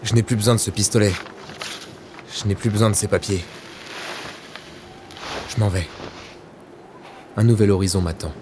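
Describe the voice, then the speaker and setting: A man's voice: fairly low pitch, fairly even intonation, normal speed, pauses often, noisy background, close to an everyday voice. A man playing a grave, resolute and vengeful secret agent on his last mission in a French audio drama. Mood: weary, resolved. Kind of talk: French audio fiction acting.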